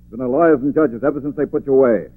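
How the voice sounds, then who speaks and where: Actor as a firm police commissioner, muffled. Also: male voice, medium pitch, very fast, no echo, lightly acted. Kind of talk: 1940s radio acting. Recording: muffled lo-fi 1940s radio recording.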